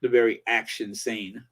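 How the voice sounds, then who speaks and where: A man in his forties hosting a casual commentary channel, rambling with many pauses and fillers while reading viewer comments. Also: fairly low pitch, little pitch movement. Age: 40s. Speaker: channel host.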